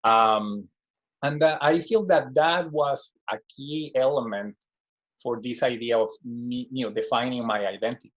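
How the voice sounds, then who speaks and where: A man's voice: mid-range voice, a little slow, lo-fi sound. A man in his forties from Guatemala giving a reflective personal talk as a design professor, full of "you know" fillers and restarts.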